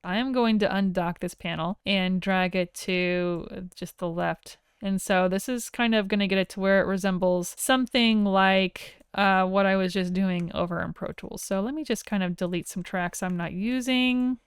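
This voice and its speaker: A woman instructor narrating a software tutorial in a casual, informal way with wry asides, recorded as a voice-over. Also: medium pitch, moderate pace, hardly any pauses, smooth, no room sound, clean studio sound. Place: close, dry voice-over for a screen recording.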